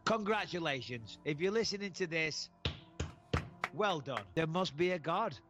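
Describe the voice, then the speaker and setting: A man's voice: fairly high pitch, lively intonation, a little slow, over music. A man giving podcast commentary in a wry, incredulous manner.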